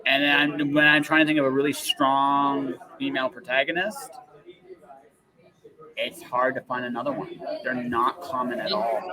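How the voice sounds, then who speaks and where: Guy doing a casual interview show, medium pitch, kinda rambly n self-deprecating, repeats himself, crowd noise in back. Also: steady tone, normal speed, rough recording. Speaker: interview podcast host.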